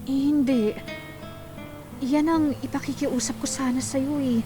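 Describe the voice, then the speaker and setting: A woman playing a gentle young woman in a Filipino radio drama, speaking earnestly and confidingly. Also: sits high, steady tone, a little slow, over music.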